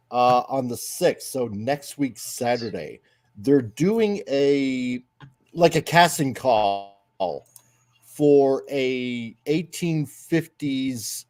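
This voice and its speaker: A man in a podcast chat whose pitch moves up and down a lot. He talks slowly and rambles, filling his pauses. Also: a little mellow. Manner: rambling, hesitant, with many fillers. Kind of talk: casual chat.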